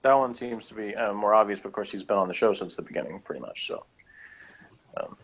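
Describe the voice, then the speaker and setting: A man's voice: on the low side, animated, fast, vocal fry, rough recording. A man chatting casually as a reviewer, giving his opinions on an episode in a rambling way.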